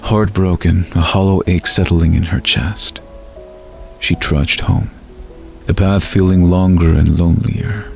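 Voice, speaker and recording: A man narrating a scripted fantasy story in a measured, dramatic storytelling manner. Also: sits low, steady tone, gravelly, a little mellow, soft background music.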